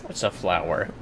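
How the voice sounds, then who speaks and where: Male game streamer, casual and crude banter, very animated, slightly high voice. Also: gravelly, a little hiss or hum. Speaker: livestreamer. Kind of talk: casual gaming banter while reading dialogue.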